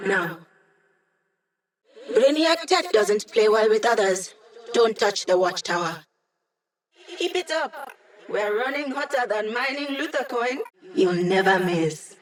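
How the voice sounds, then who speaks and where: A woman's voice: mid-range voice, lightly acted. An actress voicing a cocky, playful young female hacker who guides a squad in a video game, with a teasing, breezy manner. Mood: brisk, urgent, then sly, teasing. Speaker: hacker and mission support. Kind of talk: video game character voice lines.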